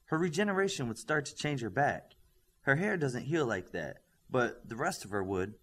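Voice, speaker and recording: A man's voice: medium pitch, very animated, moderate pace, fairly bright tone. A man voicing a blunt, short-tempered young female healer with superpowers in an audiobook, in a matter-of-fact, prickly way. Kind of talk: audiobook character voice.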